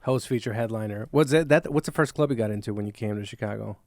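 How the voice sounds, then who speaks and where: A man hosting a podcast, firing off casual, quick questions in a relaxed chat. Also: lowish voice, no echo, studio quality. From Mexico. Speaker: podcast host.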